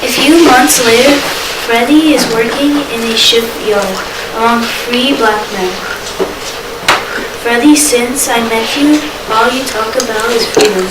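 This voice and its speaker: A woman's voice: a little high, measured pace, close to an everyday voice. A woman reading scripted narration and dialogue in an amateur readers' theater. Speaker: student actor and narrator. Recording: echoey room from far off, loud noise.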